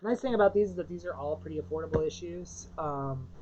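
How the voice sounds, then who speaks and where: Woman, low voice, chatty shop co-host, dull lo-fi stream audio with faint hiss. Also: fast, dark tone. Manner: chatty, enthusiastic, mimics people in quotes. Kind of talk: casual chat.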